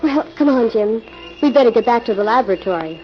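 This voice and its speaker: A woman's voice: a little high, lively intonation, quick pace, soft background music, close to an everyday voice. An actress playing the hero's curious, admiring female assistant in 1940s radio drama style. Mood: bright, inquisitive. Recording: muffled old radio broadcast recording.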